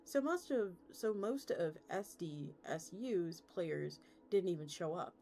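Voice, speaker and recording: A woman's voice: fairly low pitch, lively intonation, takes it slowly, creaky, dark tone. A woman streamer reading a story aloud in a casual, conversational way. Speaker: VTuber streamer. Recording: music playing behind the voice. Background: background music.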